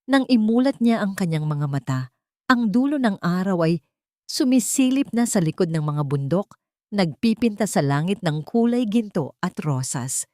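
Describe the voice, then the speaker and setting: A woman's voice: medium pitch, animated, normal speed, a little mellow, no echo, clean studio sound. A woman reading a dramatic scripted story as narrator.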